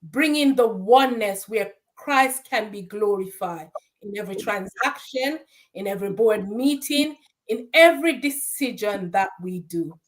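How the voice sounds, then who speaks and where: A woman's voice: medium pitch, animated, unhurried, rough recording. Emphatic Jamaican woman guest. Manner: emphatic, preacher-like delivery. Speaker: podcast guest. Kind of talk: inspirational self-introduction.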